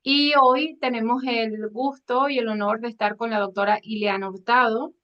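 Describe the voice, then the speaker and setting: Higher-voiced woman host on lo-fi audio. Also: fairly even intonation, normal speed. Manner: friendly, hesitant questions full of "eh". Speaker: podcast host. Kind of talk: hosted interview. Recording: dull lo-fi sound.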